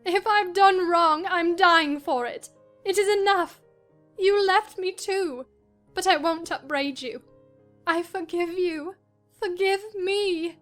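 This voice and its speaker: A woman acts out a dying young woman in an audiobook drama, her voice very high and slow. She pleads with desperate passion, sobbing through the words, with faint music behind her. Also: a bit bright, full character voice. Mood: anguished, tearful.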